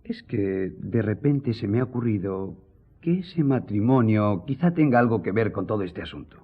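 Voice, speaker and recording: An actor playing a loyal, reassuring gentleman editor in a radio drama, speaking earnestly and formally with a husky, dark-toned voice. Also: on the low side, lively intonation, normal speed, phone-quality sound. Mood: consoling, tactful. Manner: earnest, consoling, formal address.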